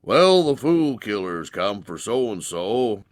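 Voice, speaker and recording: A man voicing a big, gruff, scornful country miller who scolds in a folksy way in a story. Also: fairly low pitch, big pitch swings, slow pace. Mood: smug.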